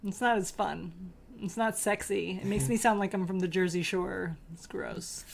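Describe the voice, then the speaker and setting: A woman with a New York accent, co-hosting a casual podcast chat, blunt and excitable, swearing freely. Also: mid-range voice, moderate pace, gravelly. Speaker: podcast co-host.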